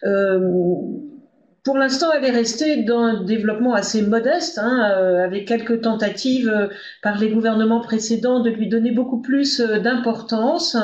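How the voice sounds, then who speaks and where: Halting woman presenter in an echoey room. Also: a little high, a little mellow. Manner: halting, with very frequent drawn-out hesitations. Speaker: web conference presenter. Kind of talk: semi-improvised expert presentation. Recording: dull, echoey web conference audio.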